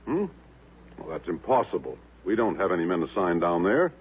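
An actor playing a gruff, authoritative government bureau chief in a 1950s radio drama, given to exclaiming. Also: male voice, low-pitched, big pitch swings, moderate pace, a little hiss or hum. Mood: astonished, disbelieving. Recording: tinny, band-limited 1950s radio recording.

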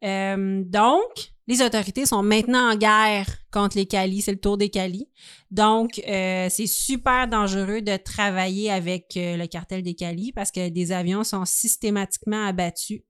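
Female podcast co-host, Quebec French accent, conversational storyteller, smooth clear voice, close dry sound. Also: medium pitch, steady tone, moderate pace, dry recording.

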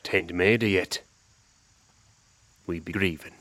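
A man's voice: deep voice, fairly even intonation, takes it slowly, fairly bright tone, no room sound. An actor playing a surly, suspicious backwoods man who speaks clipped rustic dialect with Dutch words mixed in, in a radio drama. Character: surly backwoods older brother, suspicious and menacing.

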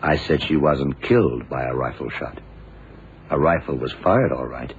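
Very deep-voiced, methodical radio detective. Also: male voice, normal speed. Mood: calm certainty. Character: shrewd, methodical male detective, calm and sure of himself. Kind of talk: 1970s radio drama acting. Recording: dull, noisy old radio recording.

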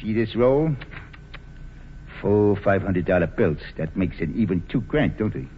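An actor playing a cocky, slangy American gangster boss who talks breezily and pushily, in 1940s radio drama style. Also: male voice, lowish voice, animated, unhurried, slight background noise. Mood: wheedling, pushy. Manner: breezy, pushy, wheedling talk in gangster slang. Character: slangy, cocky male bootlegger gang boss. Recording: dull vintage radio broadcast, reverberant, faint music.

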